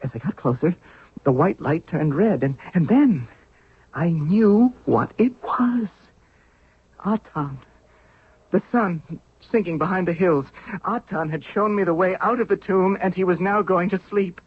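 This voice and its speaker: A man's voice: high-pitched, animated, measured pace, a little hiss or hum. A man playing an idealistic, fervent young pharaoh in a 1970s radio drama, speaking in an earnest, declamatory way. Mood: awed, weary. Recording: tinny narrow-band old radio recording.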